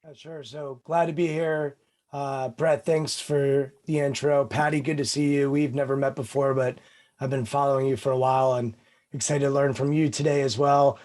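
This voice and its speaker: Brief: man in his thirties, mid-pitched voice, warm and eager, talking on a panel call. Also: level tone, normal speed. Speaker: mental performance consultant. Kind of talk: panel discussion on a video call.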